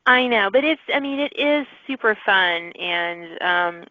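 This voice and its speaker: A woman's voice: medium pitch, lively intonation, measured pace, dry recording, muffled recording. A woman hosting a podcast, talking in a casual interview style. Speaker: podcast host.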